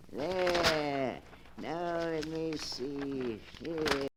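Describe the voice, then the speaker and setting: An actor playing a sinister, gloating old keeper of a book in 1940s radio horror style, with a theatrical, drawn-out and ominous delivery on a noisy old recording. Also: male voice, on the high side.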